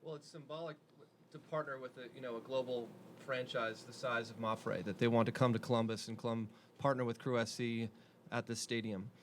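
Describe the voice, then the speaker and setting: A man's voice: mid-range voice, steady tone, fairly quick. Composed businesslike man, faint room hum. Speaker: club chairman. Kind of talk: press conference answer.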